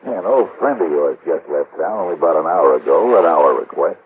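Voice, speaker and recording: An actor playing an easygoing, slightly flustered local police officer in a 1950s radio crime drama, casual and obliging. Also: male voice, a bass voice, animated, fairly quick, husky, heavily acted. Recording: tinny vintage radio recording, faint noise.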